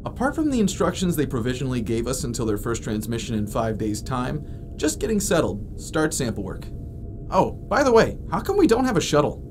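A man's voice: mid-range voice, huge pitch swings, brisk, noisy background. An actor playing a brash, sarcastic male communications officer in a naturalistic space audio drama. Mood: flippant.

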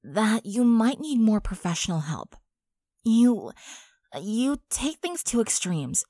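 A woman's voice: on the high side, very animated, takes it slowly, mellow, no room sound, clean studio sound, exaggerated voice. A woman playing a firm but caring young female unicorn, frank and soothing with her friend. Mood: firm, concerned.

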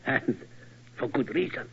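An actor playing a polite German castle guide with a grim, deliberate, dramatic manner in an old radio play. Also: male voice, bright, forceful tone. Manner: deliberate, inverted foreign word order. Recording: dull old radio recording.

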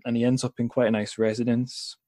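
A man's voice: medium pitch, level tone, a little fast, warm tone, no room sound, studio quality. A man hosting a podcast, chatting and summarizing in an informal, inquisitive way. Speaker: podcast host. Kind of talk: casual chat and informal summarizing.

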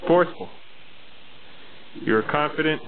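Guy behind the camera coaching his buddy with quick directions, sounds thin like a phone. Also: on the high side, noisy background. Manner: coaching, giving short instructions. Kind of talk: directing a shoot. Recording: tinny phone-like camera audio.

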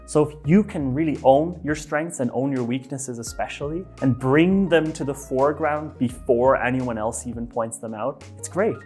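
A man's voice: mid-range voice, faint music behind. Chatty man with Irish-American accent. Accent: Irish mixed with American. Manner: lively, chatty, self-deprecating, with quick restarts. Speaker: facilitation trainer. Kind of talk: casual on-camera lesson. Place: bright office room by a whiteboard.

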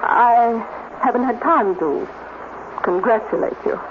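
A woman's voice: on the high side, lively intonation, measured pace. An actress playing a bitter, vengeful woman scientist, insistent and cutting, in a 1950s radio drama. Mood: cold, bitter. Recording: tinny, noisy 1950s radio recording.

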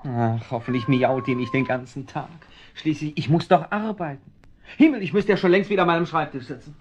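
A man's voice: fairly high pitch, brisk, muffled recording, slight background noise, natural voice. An actor playing a middle-aged, kindly but flustered male writer in an old radio play, bumbling and talking to himself. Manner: bumbling, talks to himself, lively. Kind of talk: 1970s radio play acting.

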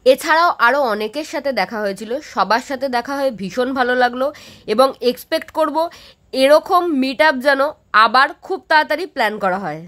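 A woman, hosting a casual travel vlog with chatty excitement, filmed at arm's length. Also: sits high, brisk. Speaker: vlogger host. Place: home room, then busy indoor cafe. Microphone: handheld camera at arm's length.

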